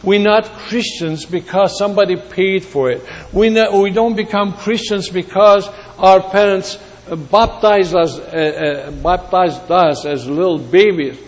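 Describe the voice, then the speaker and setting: A man from Greece preaching a sermon in a deliberate way, repeating words for emphasis. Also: sits high. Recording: long hall reverb.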